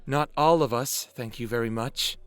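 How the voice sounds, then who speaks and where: A man's voice: mid-range voice, moderate pace, a bit bright, clear, resonant tone, dry recording, close to an everyday voice. A man playing an earnest, level-headed male werewolf in an audio drama, speaking in a straightforward way. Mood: earnest, serious.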